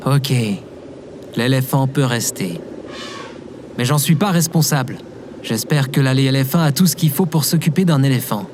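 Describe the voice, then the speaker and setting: A man's voice: mid-range voice, normal speed, pauses often, background noise, natural voice. A man playing a reluctant, easily flustered human leader in a comedic audio drama. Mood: exasperated.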